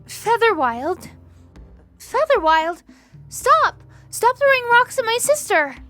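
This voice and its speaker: Woman as a very high-pitched timid young changeling. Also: very animated, pushed and bright, faint music behind, heavily acted. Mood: alarmed, confused. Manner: pleading, halting, breathless delivery. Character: timid, anxious young female changeling, easily frightened. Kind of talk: fan audio drama acting.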